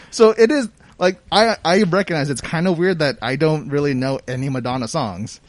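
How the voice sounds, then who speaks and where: A man co-hosting a podcast, chatting casually and giving opinions in a halting way. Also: on the high side, no echo. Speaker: podcast co-host. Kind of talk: casual chat.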